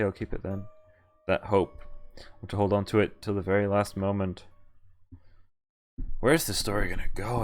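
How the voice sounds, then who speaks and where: A man streaming a visual novel, reading its text aloud and dropping in casual reactions. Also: on the low side.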